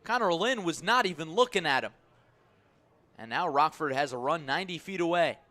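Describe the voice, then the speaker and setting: Bright, punchy male baseball radio announcer. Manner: measured between pitches, swelling to excited calls on plays. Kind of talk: live radio sports commentary.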